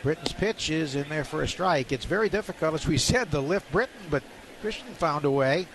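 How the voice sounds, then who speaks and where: A man with a fairly high voice calls a baseball game live on the radio. He works from a stadium booth, with clear outdoor ambience and noise around him. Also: animated, brisk. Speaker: baseball play-by-play announcer. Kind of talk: live radio play-by-play commentary.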